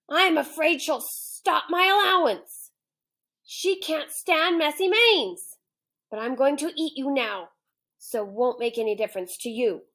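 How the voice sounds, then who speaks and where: A woman puts on a vain, blustering young lion from a children's storybook. The voice is raised high and loud, exclaiming in a bullying, hot-tempered way at a slow pace. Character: angry, vain young male lion, mother-fearing bully. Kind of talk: children's storybook character reading.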